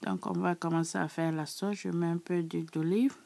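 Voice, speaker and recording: A woman with a sore, hoarse throat, chatting casually to her subscribers as she walks through a recipe in her kitchen. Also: fairly low pitch, fairly even intonation, normal speed, warm tone. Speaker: cooking channel host. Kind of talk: casual cooking tutorial.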